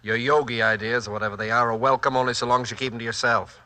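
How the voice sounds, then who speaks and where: A man's voice: mid-range voice, fairly even intonation, racing, pushed and bright, close to an everyday voice. An actor playing a protective, suspicious husband and father, plain-spoken and blunt, in 1940s radio drama style. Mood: suspicious, firm. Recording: vintage 1940s radio recording.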